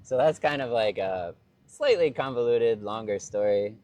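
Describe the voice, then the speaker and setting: A man's voice: mid-range voice, normal speed. A man being interviewed, talking casually and loosely about his life abroad, with lots of "like" fillers. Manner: relaxed, rambling, heavy use of "like". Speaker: interview guest, expat living in Vietnam. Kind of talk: casual conversation.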